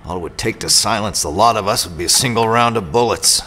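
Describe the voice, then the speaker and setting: A man's voice: lowish voice, fast talker, sharp tone, slight background noise. A man playing an irritable, suspicious mercenary robot pilot in an audio drama, sardonic and confrontational.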